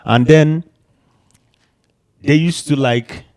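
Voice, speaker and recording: A man's voice: fairly high pitch, drawn-out words, a little mellow. A man born in Canada co-hosting a casual discussion, explaining history in a lecturing way with emphatic rises.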